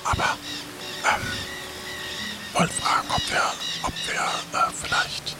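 A man voicing a hesitant crew member in a breathy, voiceless whisper for an improvised role-play. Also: slow pace, sharp tone, faint music behind.

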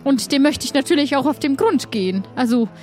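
A woman's voice: high-pitched, animated, fairly quick, darkish tone. A woman playing a prim, haughty, easily flustered traveller who speaks formally and stammers in an improvised audio play. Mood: flustered, concerned.

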